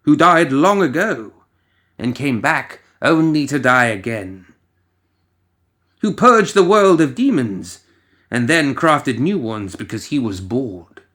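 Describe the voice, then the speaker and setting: A man reading a scripted story as narrator, in a theatrical, ominous manner with lingering pauses. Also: mid-range voice, big pitch swings, a bit bright.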